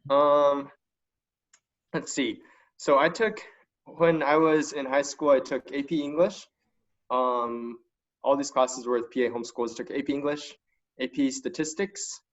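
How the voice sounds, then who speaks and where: A young man speaks as a student on an online panel, in an informal, enthusiastic way. His voice sits at an average male pitch. The audio is dull and lo-fi, like an internet call. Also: steady tone, a little slow. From China. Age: young adult. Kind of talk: casual spoken testimonial. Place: online video conference call.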